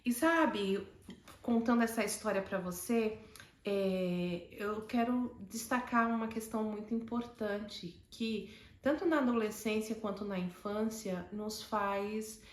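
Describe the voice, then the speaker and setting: A woman's voice: mid-range voice, slow, reverberant. A woman from Northeast Brazil with a Northeastern Brazilian accent, telling a personal story to camera with many fillers and restarts. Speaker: host.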